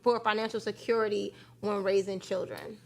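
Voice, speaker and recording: A woman hosting a lively panel discussion in a folksy, conversational way with emphatic delivery. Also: medium pitch, moderate pace, gravelly. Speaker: talk show host.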